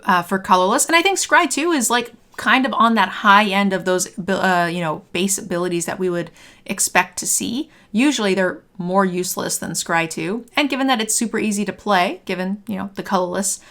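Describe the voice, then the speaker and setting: A young woman hosting a card game review, a bit hoarse as her voice is failing, talking in an upbeat conversational way. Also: mid-range voice, fairly quick, fairly bright tone. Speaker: host. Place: home streaming room, indoors.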